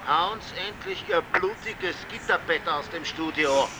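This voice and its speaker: A man's voice: fairly high pitch, unhurried, close to an everyday voice. A male actor playing an indignant, bossy radio station boss, scolding in an agitated way over a car call. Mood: bossy, grudging. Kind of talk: radio drama acting. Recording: loud traffic noise from a car call. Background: city traffic and vehicle noise.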